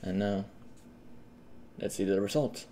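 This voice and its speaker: A man hosting a casual step-by-step software tutorial, talking informally and a little haltingly, as a host guiding viewers. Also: lowish voice. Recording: steady noise behind the voice.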